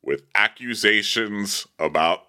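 A man co-hosting a comedy recap podcast, sarcastic and quick to laugh. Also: fairly low pitch, sharp tone, smooth. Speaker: podcast co-host.

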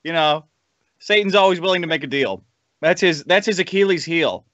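A man's voice: fairly high pitch, fairly quick, fairly bright tone, no room sound, dull audio. A man chatting casually on a podcast in a sardonic, mocking way with plenty of swearing. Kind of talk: casual, profane podcast chat.

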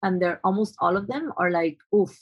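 Young woman from Colombia, reflective and halting, an average voice for a woman. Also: medium pitch, breathy edge, lo-fi sound. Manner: thoughtful, hesitant, many restarts and fillers. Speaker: photographer. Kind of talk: conversational interview answers.

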